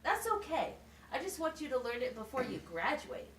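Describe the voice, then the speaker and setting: A woman's voice: high-pitched, very animated, moderate pace, fairly bright tone. A woman playing a patient, encouraging special education teacher at a wizard school in an amateur puppet show, explaining things simply, step by step. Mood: encouraging, instructive. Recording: echoey, distant mic with faint noise.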